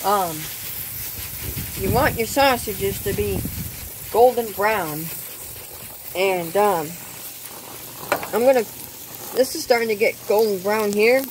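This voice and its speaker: A woman hosting her own cooking channel, explaining step by step outdoors at a grill, halting often with ums. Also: mid-range voice, background noise. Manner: unhurried, hesitant with frequent ums. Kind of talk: casual cooking demonstration.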